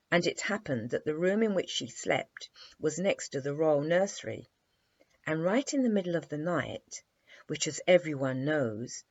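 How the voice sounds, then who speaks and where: A woman reading a children's bedtime story aloud in an animated, measured storytelling manner. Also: low-pitched, husky. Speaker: storybook reader. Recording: dry, slightly dull lo-fi recording.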